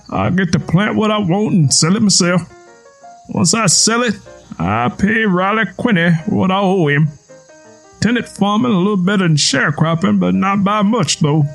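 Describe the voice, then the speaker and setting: A man voicing a gruff old tenant farmer with a strained, choked voice and blunt, folksy talk. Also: sits high, expressive, a little slow, fairly bright tone, faint music behind, pitched above the performer's own voice, full character voice. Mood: gruff, matter-of-fact. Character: gruff old backwoods Southern father-in-law, hard-drinking tenant farmer.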